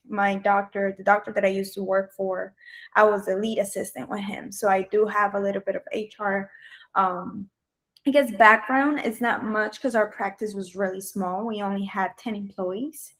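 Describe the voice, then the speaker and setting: A woman's voice: mid-range voice, steady tone, moderate pace, fairly bright tone. A young woman from Colombia whose first language is Spanish, answering questions in a video job interview, hesitant and full of ums. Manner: hesitant, many ums and restarts. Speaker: job candidate. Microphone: video call.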